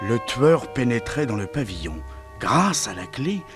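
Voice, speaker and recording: An actor playing the narrator of a vintage French radio crime serial, telling it with dramatic suspense. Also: male voice, mid-range voice, very animated, measured pace. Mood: suspenseful. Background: music.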